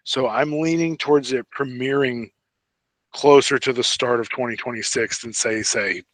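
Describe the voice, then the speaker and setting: A man's voice: mid-range voice, steady tone, moderate pace, fairly bright tone, no echo. A man co-hosting a casual fan podcast, talking informally and giving his opinions freely. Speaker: podcast co-host.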